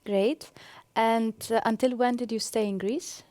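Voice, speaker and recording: A woman's voice: fairly high pitch, steady tone, a little slow, mellow, dry recording. A woman hosting an academic interview, asking short friendly questions. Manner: friendly, brief prompting questions. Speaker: interview host.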